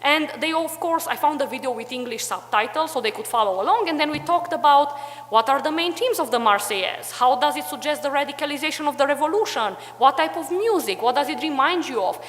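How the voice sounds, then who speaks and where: A woman's voice: sits high, animated, brisk, a bit bright, big-room sound, slight background noise. A young woman from Transylvania giving a chatty conference talk about teaching history, full of fillers. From Romania, Transylvania. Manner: chatty, many fillers like "like" and "you know". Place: hotel conference room.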